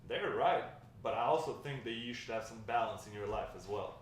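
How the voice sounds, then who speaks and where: A man's voice: mid-range voice, fairly quick, lightly acted. Man playing brash grandpa in an echoey room. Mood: spirited, defiant. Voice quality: slangy, swaggering talk. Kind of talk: amateur screenplay table read. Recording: echoey room, distant mic, faint noise.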